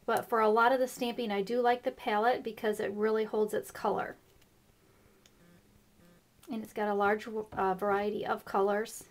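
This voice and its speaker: A woman hosting a casual craft tutorial, explaining her steps in a chatty way with fillers, her voice a little rough this morning. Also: mid-range voice, level tone, moderate pace. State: slightly rough voice. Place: craft table indoors.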